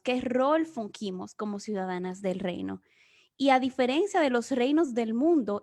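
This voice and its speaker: A woman's voice: fairly high pitch, darkish tone. A woman from the Dominican Republic with a Dominican accent, giving an earnest, explanatory Bible teaching as guest speaker. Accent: Dominican Spanish. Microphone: remote video session.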